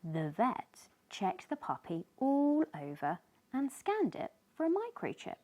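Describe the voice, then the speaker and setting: Slow, very expressive woman reading to children. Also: a little high, highs cut off. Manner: slow, animated, speaking to young children. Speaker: children's story reader, host. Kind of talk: expressive read-aloud of a children's picture book.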